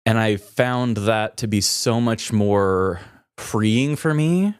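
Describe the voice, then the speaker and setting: Candid man with a lowish voice. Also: slow, clean tone, no room sound, clean studio sound. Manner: candid, introspective, wordy. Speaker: podcast co-host. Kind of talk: casual reflective podcast chat.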